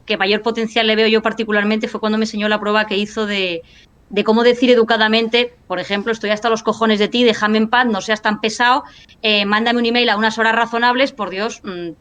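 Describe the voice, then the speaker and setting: A woman's voice: a little high, fairly even intonation, a little fast, fairly bright tone, clean tone. Thoughtful woman, university lecturer. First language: Spanish. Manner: thoughtful, many restarts and tag questions. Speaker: university lecturer and analyst guest. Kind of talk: casual panel discussion.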